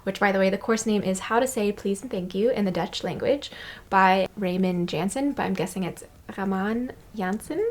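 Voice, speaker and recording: A woman's voice: mid-range voice, moderate pace. Chatty young American woman vlogging. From United States. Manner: chatty, repeats words to practise them, laughs at herself. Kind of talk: casual chat to camera.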